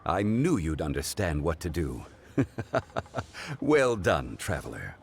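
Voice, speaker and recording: A man playing a composed, scholarly gentleman in a video game, speaking in a measured, formal and courteous way over music. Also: very deep voice, wildly swooping pitch, gravelly, slight background noise, full character voice. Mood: courteous, approving. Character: composed, scholarly gentleman consultant, a former god.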